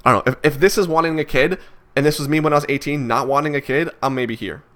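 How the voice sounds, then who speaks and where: A man's voice: a little high, fast, a bit bright. A man in his twenties musing aloud in a vlog, hesitant and rambling, with many fillers and restarts. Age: 20s. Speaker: vlogger. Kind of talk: reflective casual monologue.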